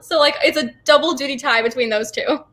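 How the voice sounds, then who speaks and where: A woman's voice: high voice, brisk, fairly bright tone. A young woman talking casually as an interview guest, informal and enthusiastic, peppering her sentences with "like". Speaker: theater company co-founder, interview guest.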